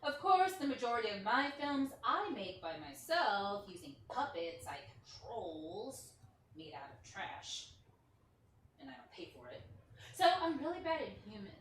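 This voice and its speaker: A woman playing an awkward, earnest middle-aged indie filmmaker in a naturalistic play reading, halting and self-deprecating. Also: mid-range voice, big pitch swings, fairly bright tone. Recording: roomy, distant mic with faint noise.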